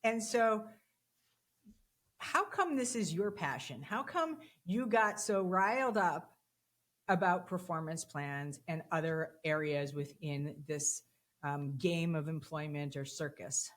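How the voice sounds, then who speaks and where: A woman's voice: sits low, takes it slowly. Woman hosting a career podcast, chatty and loose, lots of ums. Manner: chatty, with fillers and repeated words. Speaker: podcast host. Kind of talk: conversational interview.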